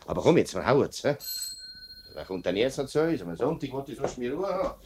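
A man's voice: mid-range voice, very animated, brisk, darkish tone, a little hiss or hum. An actor playing a hearty, plain-spoken working-class family man in a 1950s Swiss German dialect radio play. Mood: brisk, teasing.

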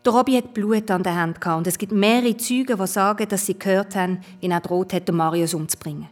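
Harried, fast-talking worried wife, acted by a woman. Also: medium pitch, close to an everyday voice. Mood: distressed, bitter. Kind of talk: radio drama acting.

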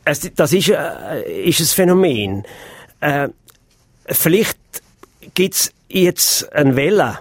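A man with a Swiss German accent, answering radio interview questions hesitantly, with restarts and pauses to search for words. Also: on the high side, very animated, breathy edge, no echo. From Graubünden, Switzerland. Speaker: association president interviewed.

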